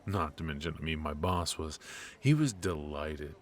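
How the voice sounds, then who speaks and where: A man's voice: a bass voice, moderate pace. A man playing a cocky, ruthless and protective mafia boss in a noir ASMR roleplay, with an intimate, teasing delivery. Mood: teasing, dry. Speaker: voice actor.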